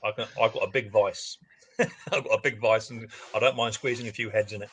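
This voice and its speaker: A man's voice: lowish voice, fairly even intonation, fairly quick, a bit bright, slight background noise. A man hosting a casual livestream, chatting and teasing his viewers. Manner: chatty, teasing, banter-heavy. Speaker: livestream host.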